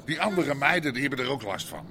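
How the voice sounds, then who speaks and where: An actor playing a bossy middle-aged Amsterdam red-light boss in a radio drama, brash and coarse, with an Amsterdam accent. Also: male voice, mid-range voice, big pitch swings, quick pace, a crowd in the background, natural voice. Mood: irritated.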